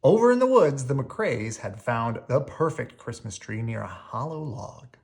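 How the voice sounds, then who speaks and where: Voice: medium pitch, big pitch swings, measured pace, gravelly, darkish tone. A storyteller reading a children's picture book aloud expressively, drawing out key words.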